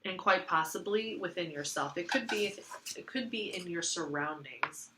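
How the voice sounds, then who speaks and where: A woman's voice: fairly low pitch, lively intonation, moderate pace, background noise. A woman giving a casual tarot reading, congested from allergies, rambling with lots of fillers and repeating herself. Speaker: tarot reader.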